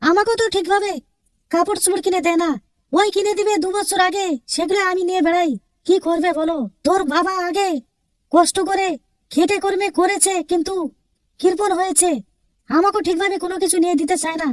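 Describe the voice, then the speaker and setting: Female actor as complaining wife and mother, cartoon, very high-pitched, quick, pleading. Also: fairly even intonation, dry recording, clean studio sound. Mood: resigned complaint. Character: long-suffering mother, complaining wife pleading for her son.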